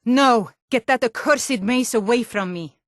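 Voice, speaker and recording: A woman playing a tough, suspicious female Nord city guard in a fantasy video game, with a blunt, gruff, challenging and wry manner. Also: a little high, a little slow, dry recording, studio quality, close to an everyday voice. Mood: hostile, warning.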